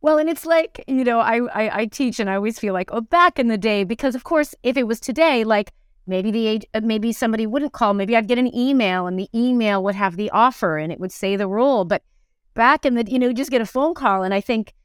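A middle-aged woman telling stories casually as an interview guest, animated, rambling and self-deprecating. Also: on the high side, brisk, clear, resonant tone, no room sound, clean studio sound. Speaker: interview guest, musical theatre performer and teacher. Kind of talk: casual conversational storytelling.

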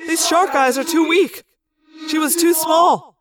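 A woman's voice: high voice, animated, moderate pace, background noise. A woman voicing an earnest male talking shark who speaks in precise, formal phrases without contractions, in an audiobook story. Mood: frustrated.

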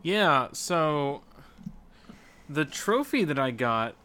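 A man co-hosting a casual gaming podcast, speaking in a halting, hesitant way. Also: on the high side, sing-song, very noisy. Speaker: podcast co-host. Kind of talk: casual video game podcast chat.

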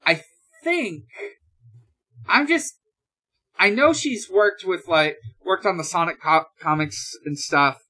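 A man co-hosts a casual podcast in a bright, keen-edged voice. He speaks very slowly, feeling his way through each thought with hesitant pauses. The tone is conversational, like someone sharing news with friends. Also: high-pitched. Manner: halting, hesitant delivery with drawn-out pauses. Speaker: podcast host.

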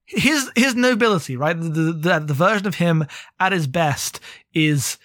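A podcast co-host talking casually and informally about a book, animated and given to swearing. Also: medium pitch, normal speed, dry recording, clean studio sound.

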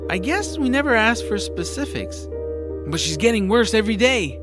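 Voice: medium pitch, very animated, normal speed, music in the background, natural voice. A performer playing a young boy hero in an audio drama, earnest, kind and worried, speaking plainly. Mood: pleading.

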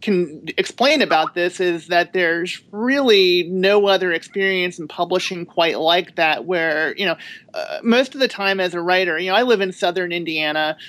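A woman's voice: fairly low pitch, normal speed, fairly bright tone, no room sound. A woman author talking as a podcast guest in a thoughtful, rambling way, with frequent restarts. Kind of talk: casual interview talk.

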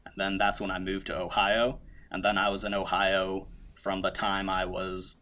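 Young man, podcast guest, low-pitched with a bright edge, loose rambling delivery. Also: normal speed. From United States. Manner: relaxed, rambling with many fillers and restarts. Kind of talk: casual conversation.

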